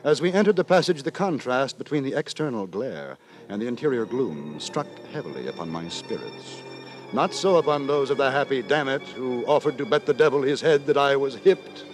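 An actor playing an earnest, long-suffering, moralizing gentleman narrator in a theatrical, formal 1950s radio drama. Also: medium pitch, lively intonation, normal speed, natural voice. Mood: uneasy, fretful. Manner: theatrical, formal, fretful storytelling. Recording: 1950s radio broadcast with music.

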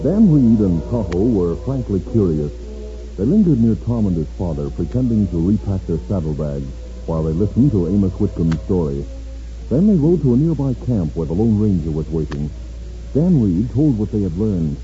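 Low-voiced, animated male radio narrator. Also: normal speed, faint music behind. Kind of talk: dramatic scripted radio western narration, 1950s. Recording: dull 1950s radio recording.

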